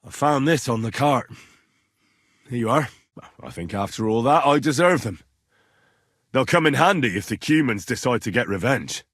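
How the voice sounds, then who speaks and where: A man's voice: medium pitch, expressive, moderate pace, pauses often, fairly bright tone, no echo, studio quality. An actor playing an earnest, courteous young medieval messenger and man-at-arms, speaking plainly and politely in video game dialogue. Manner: polite, plain-spoken, deferential to betters.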